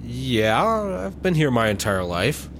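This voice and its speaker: An actor playing a mild, straitlaced Utah family man with a deadpan manner, in a comedy audio drama. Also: medium pitch, a little slow, slight background noise.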